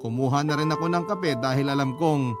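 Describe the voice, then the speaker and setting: A man's voice: fairly high pitch, fairly quick. A man narrating a scripted first-person story, read as a letter for a radio drama. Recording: prominent music bed under voice. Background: loud instrumental music.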